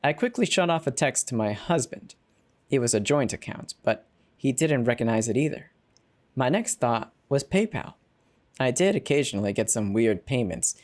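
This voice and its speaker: A narrator giving an expressive, dramatic first-person reading of a horror story. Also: mid-range voice. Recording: background music behind the narration. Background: music.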